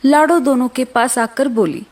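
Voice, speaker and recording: A woman narrator with a fairly high voice tells a children's cartoon tale. She reads from a script in storytelling style. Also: normal speed.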